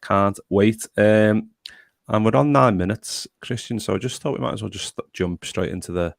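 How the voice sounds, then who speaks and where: A man's voice: fairly low pitch, moderate pace, vocal fry, no echo, clean studio sound. A young man hosting a casual podcast chat and reading out viewer comments. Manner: chatty, reads out viewer comments. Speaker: podcast host.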